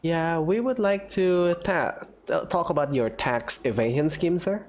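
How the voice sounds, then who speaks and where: A woman's voice: fairly low pitch, a little slow, a little mellow, soft background music. A woman giving casual, chatty, teasing gameplay commentary. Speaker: let's-play commentator.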